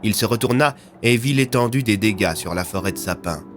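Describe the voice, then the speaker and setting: A man's voice: on the low side, fairly quick, fairly bright tone, a little hiss or hum. A man reading scripted French audio fiction as its narrator.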